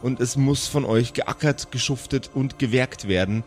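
A man's voice: medium pitch, normal speed, faint music behind. A man narrating an improvised tabletop story as game master, descriptive and searching for words as he goes.

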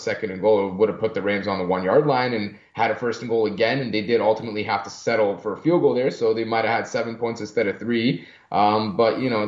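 A young man in his twenties, raised in Canada, calmly talking football as a podcast guest. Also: fairly low pitch. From born in Germany, raised in Canada. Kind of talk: casual sports podcast talk. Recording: muffled echoey remote line.

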